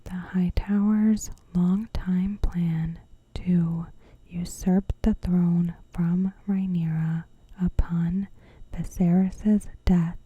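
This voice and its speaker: A woman narrating a recap in ASMR style, in a hushed, breathy whisper with measured delivery. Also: on the low side, no room sound, lo-fi sound. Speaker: ASMR video host.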